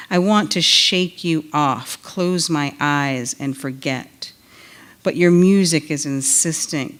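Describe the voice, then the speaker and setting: A woman's voice: low-pitched, smooth. A woman in her forties reads poetry expressively on stage, close to a stand microphone. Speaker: literary event reader. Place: on stage in a theater.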